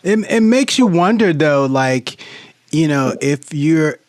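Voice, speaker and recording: A man on a podcast panel chatting casually, in a halting, thoughtful way. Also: a little high, lively intonation. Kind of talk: casual chat.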